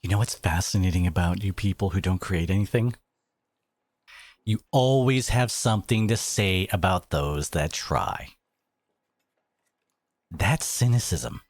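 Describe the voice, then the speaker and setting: A man playing a devoted, protective boyfriend in a dramatic roleplay audio, speaking emphatically with sarcastic edges and dramatic pauses. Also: deep voice, animated, dry recording, full character voice. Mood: indignant, sarcastic.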